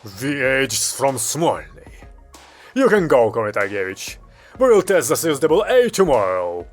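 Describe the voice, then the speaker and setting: A man's voice: medium pitch, very animated, unhurried, faint music behind, pitched below the performer's own voice. A man voicing a stern, composed Soviet military commander with a curt, authoritative manner in an audio story. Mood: controlled, curt.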